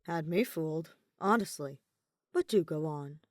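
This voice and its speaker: Woman doing a dry teasing scholar guy for an audiobook, deadpan, bit low, close and dry sound. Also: unhurried, no room sound. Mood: mocking. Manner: deadpan, mocking. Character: dry, teasing, unflappable young scholar man. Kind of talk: audiobook character dialogue.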